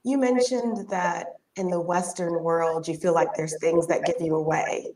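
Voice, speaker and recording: A woman host with a deeper voice, interviewing a guest in a warm, affirming way. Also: steady tone, unhurried, a little hiss or hum, poor audio.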